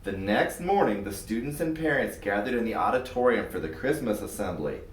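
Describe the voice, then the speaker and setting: A man reading a children's story aloud in an expressive, scripted narrating style. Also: mid-range voice, a little fast, a little hiss or hum. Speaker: storybook reader. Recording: roomy echo, far from mic.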